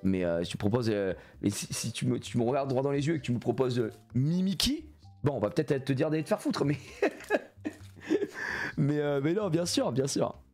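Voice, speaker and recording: A man's voice: medium pitch, normal speed, soft background music. Sniffly male streamer, jokey and swearing. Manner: animated, jokey, swears a lot, reads chat aloud. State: congested with a cold. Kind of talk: live gameplay stream chatting with viewers.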